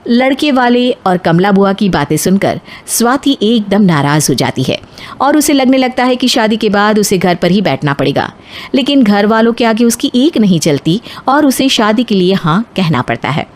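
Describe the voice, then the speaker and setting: A woman's voice: medium pitch, sing-song, moderate pace. A woman narrating a scripted moral story in a storytelling style.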